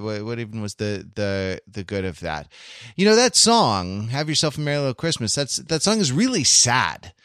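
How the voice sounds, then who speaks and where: A man's voice: medium pitch, fairly bright tone, no echo, clean studio sound. A man hosting a podcast in casual, comedic chat, talking in a rambling, digressive and wry way. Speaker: podcast host.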